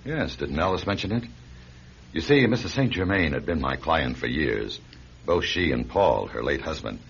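A man acting a cold, calculating attorney in a radio drama, commanding and deliberate. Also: extremely low pitch, lively intonation. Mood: feigned sorrow. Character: cold, calculating male attorney, controlling schemer. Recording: dull, noisy radio recording.

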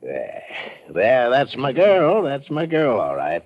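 Boisterous, folksy American tourist, swooping voice. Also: male voice, medium pitch, huge pitch swings, slow pace, dull audio, exaggerated voice. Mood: eager. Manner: folksy, effusive, repeats himself. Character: boisterous, back-slapping American tourist, eager and naive. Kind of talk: old-time radio drama acting.

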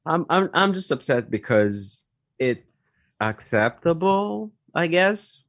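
A Filipino man co-hosting a casual chat in English and Tagalog, telling stories and acting out bits of dialogue, with halting restarts. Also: a little high, very animated, a bit bright, no room sound, studio quality. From Philippines. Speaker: podcast co-host.

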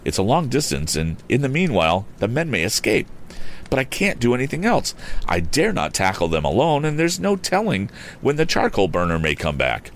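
A man performs a plucky young lad, an inventor in a storybook adventure. The voice is lifted in pitch and animated, earnest, eager and determined. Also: normal speed, a little hiss or hum. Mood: resolute, worried. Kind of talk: audiobook character voice.

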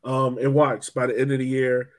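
A man hosting a casual sports podcast, talking in a jokey, animated way. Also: mid-range voice, normal speed. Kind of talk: casual fan podcast chat.

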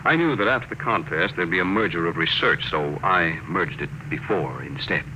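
An actor playing a shrewd, cocksure space tycoon with a wry, commanding manner in an old-time radio drama. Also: male voice, sits low, expressive, moderate pace, sharp tone, exaggerated voice, poor audio. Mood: amused, smug. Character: shrewd, brash, amused space-drive tycoon, a man. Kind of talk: 1950s radio drama acting. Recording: old radio recording with faint noise.

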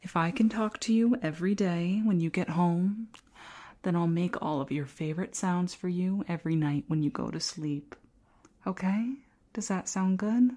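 A woman playing a shy, hapless young female ghost in an ASMR roleplay, speaking softly and often whispering, with theatrically spooky teasing. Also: fairly low pitch, normal speed, natural voice. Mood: hopeful, shy. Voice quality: soft, breathy, often whispered.

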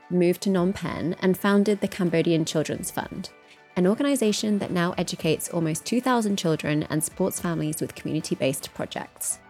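A woman's voice: fairly low pitch, a little mellow, husky. A young woman hosting a travel podcast interview in an upbeat manner, laughing often. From United Kingdom. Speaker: podcast host.

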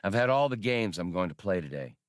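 A man's voice: low voice, lively intonation, fairly quick, no echo, clean studio sound. A man voicing a wry, impatient smuggler starship captain in an audiobook, curt and slangy. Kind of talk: audiobook character acting.

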